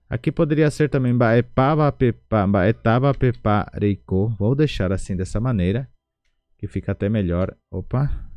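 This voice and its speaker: A man with a Brazilian Portuguese accent teaching an online language lesson, slowly repeating each word syllable by syllable. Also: fairly low pitch, no echo, lo-fi sound.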